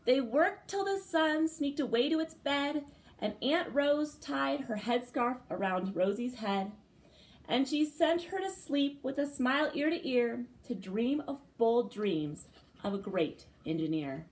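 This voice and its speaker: A woman preschool teacher reading a storybook aloud for young children, with dramatic phrasing and pauses between short phrases. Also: sits high, lively intonation, rough recording.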